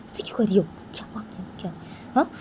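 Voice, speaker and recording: A woman's voice: medium pitch, fast, background noise, lightly acted. A woman voicing a concerned older stepmother who keeps asking puzzled questions.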